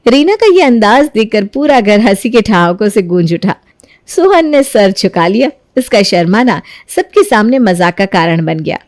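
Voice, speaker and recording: A woman narrating a children's cartoon story in a clear, even storytelling manner. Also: fairly high pitch, no room sound, studio quality.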